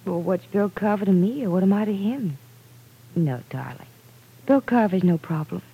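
An actress playing an affectionate, reassuring grown daughter in 1940s radio soap style. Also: female voice, mid-range voice, animated, moderate pace, warm tone, breathy edge, low-quality recording. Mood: breezy reassurance. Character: reassuring grown daughter, affectionate, breezy, independent young woman.

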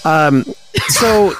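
A man's voice: sits high, animated, extremely slow, over music. A man giving a casual news segment as a co-host on a pop culture podcast.

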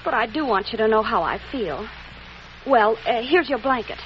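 Actress as high, expressive, earnest young woman. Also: moderate pace, natural voice. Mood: earnest, shy. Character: earnest, hard-up young woman, apologetic and shy. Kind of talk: 1940s radio romantic comedy acting. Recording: muffled, noisy old 1940s radio broadcast.

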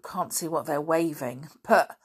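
A woman reading a storybook and voicing a steady, sensible boy who leads his friends, in a calm and reasoning manner. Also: low-pitched, measured pace, breathy edge.

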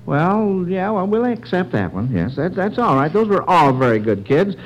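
A man hosting an old-time radio quiz, speaking in a halting, encouraging and agreeable way. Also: sits high, sing-song, muffled recording, slight background noise. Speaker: quiz show host.

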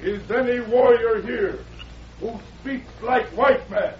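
An actor playing a stern, suspicious chief, the villain of an old radio western, speaking in terse broken English. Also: male voice, very high-pitched, takes it slowly. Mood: commanding. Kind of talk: 1940s radio adventure acting. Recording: muffled old radio recording, faint noise.

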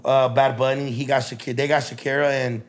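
A raspy-voiced young man co-hosting a podcast in casual banter, joking, laughing often and swearing freely. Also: mid-range voice, little pitch movement, quick pace. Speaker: podcast co-host.

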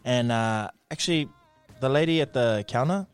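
Playful New radio co-host. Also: male voice, medium pitch, moderate pace, clear, resonant tone, soft background music. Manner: playful, teasing, upbeat. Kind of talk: casual morning show chat.

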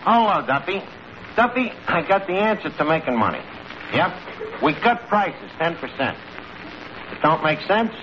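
An actor playing a breezy, mock-learned New York tavern manager with mangled grammar, in 1940s radio comedy style. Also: male voice, sits high, big pitch swings, normal speed, pauses often. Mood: brisk, scheming. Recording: noisy, muffled old radio broadcast, audience.

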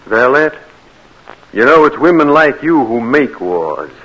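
An actor playing a bitter, cynical Southern cousin in a 1940s radio drama, speaking in a sneering, accusing way. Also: male voice, medium pitch, very animated, a little slow, fairly bright tone, rough recording.

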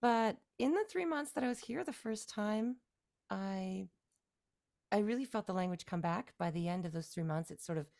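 A woman from Canada in her forties, a musician guest talking through her career in a relaxed, rambling way with fillers and restarts. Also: medium pitch, normal speed, creaky. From Canada, near Toronto. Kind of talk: casual interview conversation.

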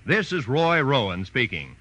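A man's voice: mid-range voice, very animated, moderate pace, very noisy. A man with an American accent reading as a network announcer in 1940s radio style. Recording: vintage radio broadcast with music.

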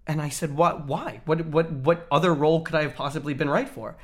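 A man's voice: a little high, fairly even intonation, fairly quick. Man telling a story with quoted dialogue. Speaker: actor guest. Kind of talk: casual interview.

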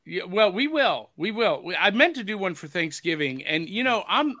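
Bright-voiced chatty male podcast host. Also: high-pitched, very animated, moderate pace, no room sound, clean studio sound. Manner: upbeat, chatty, jokey. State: hoarse from overuse. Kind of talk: casual podcast chat.

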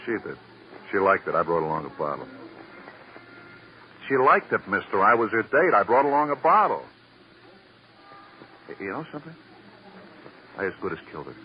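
An actor playing a defensive, jittery waiter in a radio crime drama, slangy and insistently repeating himself. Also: male voice, on the low side, very animated, moderate pace, long pauses. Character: defensive, jittery waiter under police questioning. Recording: muffled, hissy old radio recording.

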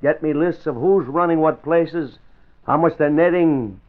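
Guy doing mob boss handing out orders, sure of himself, highish voice, tinny old radio sound. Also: measured pace, natural voice. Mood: commanding, sly. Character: bossy, confident male racketeer kingpin giving orders. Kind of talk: 1950s radio crime drama acting.

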